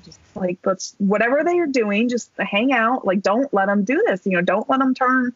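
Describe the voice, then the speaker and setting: A woman's voice: a little high, moderate pace, husky, no echo. Chatty woman telling a story, dull dry sound. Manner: animated, chatty, many fillers and swear words. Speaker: podcast guest. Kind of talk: casual storytelling. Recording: dull dry podcast recording.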